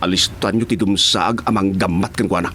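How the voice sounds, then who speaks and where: A man's voice: low voice, steady tone, a little fast, fairly bright tone, a little hiss or hum. A man playing a cocky, leering kidnapper henchman in a radio drama, with an Ilocano Filipino accent. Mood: gloating.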